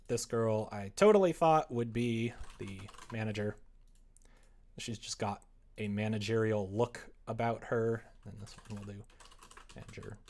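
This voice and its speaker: A man chatting casually on a livestream as he works, rambling and self-deprecating, often muttering to himself, with a gravelly, creaky voice. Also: fairly low pitch, darkish tone. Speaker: live streamer, game developer. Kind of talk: casual livestream chat while working on screen.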